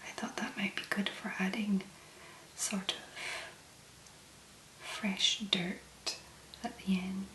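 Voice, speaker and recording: A woman speaking in a hushed, gentle whisper with long pauses, as an ASMR host. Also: medium pitch, little pitch movement. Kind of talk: soft-spoken whispered ASMR. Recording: roomy echo, clear background noise.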